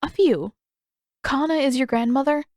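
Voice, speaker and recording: A brisk, practical healer, read by a woman narrator, talks in a businesslike way. She is a grown woman in an audio storybook, with a dry, close sound. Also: high voice, a little mellow, dry recording, pitched above the performer's own voice, lightly acted.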